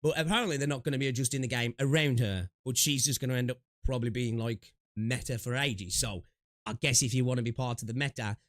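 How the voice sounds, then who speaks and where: A man's voice: medium pitch, steady tone, fast, no echo, studio quality. A man streaming games, chatting loosely with restarts, fillers and swearing, sniffling from allergies. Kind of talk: casual gaming stream chat.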